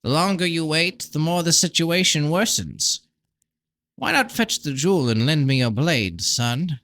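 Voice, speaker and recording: A man's voice: fairly high pitch, animated, unhurried, fairly bright tone, dry recording, voice pushed up. A man voicing a mad old sorcerer, crafty and blunt, speaking in a dramatic, insistent way as part of a fantasy book reading. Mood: grave, urgent.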